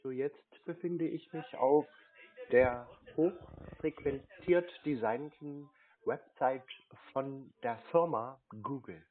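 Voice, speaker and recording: A husky-voiced man on a prank phone call, deadpan and playing naive, with stilted, pompous wording. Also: medium pitch, takes it slowly. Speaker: prank caller baiting phone scammers. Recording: muffled telephone-like sound.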